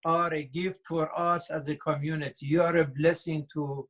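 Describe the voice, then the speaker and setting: A man speaking as an imam, slow and halting, praising a guest and using Arabic religious terms. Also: fairly high pitch, flat delivery, a bit bright. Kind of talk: religious reflection.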